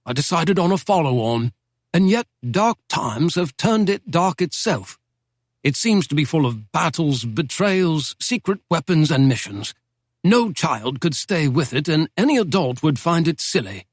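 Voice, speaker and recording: A man's voice: a little high, sing-song, a little slow, no room sound, studio quality, pitched above the performer's own voice. A man voicing a genial older male Oxford professor with an English accent, talkative, playful and precise in his diction.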